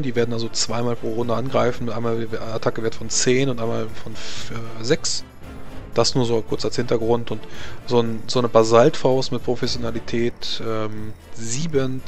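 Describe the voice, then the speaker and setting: A man at an ordinary middle pitch runs a tabletop game, plainly explaining rules and stats. He answers his players with quick agreement. Faint music plays behind him. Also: flat delivery. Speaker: game master.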